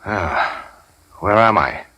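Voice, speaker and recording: An actor playing a wounded, wry gangster boss, terse, slangy and sardonic in 1940s radio drama style. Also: male voice, on the low side, animated, slow, pushed and bright, no room sound, exaggerated voice. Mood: wry, weak. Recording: vintage 1940s radio broadcast.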